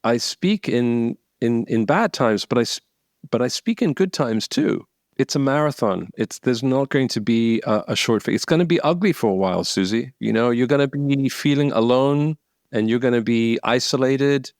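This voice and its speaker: A man's voice: medium pitch, animated, normal speed, a little mellow, no room sound, studio quality. A man talking bluntly and emphatically as an interview guest, swearing for emphasis. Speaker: guest speaker. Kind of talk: conversational interview.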